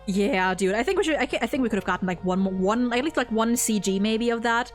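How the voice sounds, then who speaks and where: A woman with a fairly high voice talks through a game story as a fan and streamer. She is effusive and loose, swearing and repeating words as she goes. Soft music plays behind her. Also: quick pace. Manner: effusive, swearing, stammering repetitions. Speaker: streamer co-hosting a video game story ranking. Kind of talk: casual animated fan discussion.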